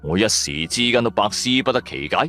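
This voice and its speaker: A man's voice: on the low side, animated, a little fast, pushed and bright. A man narrating a first-person horror story for radio in a suspenseful, colloquial manner. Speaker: audio drama narrator. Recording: music under the voice. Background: background music.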